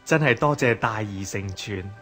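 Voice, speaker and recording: A man's voice: lowish voice, moderate pace, music in the background, lightly acted. Man playing a doting Chinese emperor. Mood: pleased. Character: doting, indulgent emperor of Tang China. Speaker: radio drama actor. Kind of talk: Cantonese historical radio drama acting.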